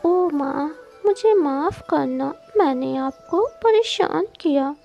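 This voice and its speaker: A woman's voice: high-pitched, expressive, slow pace, creaky, dull audio, soft background music, heavily acted. A woman voicing a timid, curious little blue baby bird girl in a cartoon story, innocent and childlike. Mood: apologetic. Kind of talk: Hindi cartoon moral story acting.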